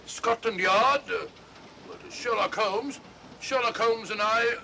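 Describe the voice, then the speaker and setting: An older English gentleman doctor in a 1940s film, bumbling and blustering. His voice is high for a man, gravelly and halting, on an old soundtrack with faint noise. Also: full character voice. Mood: flustered. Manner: blustering, hesitant.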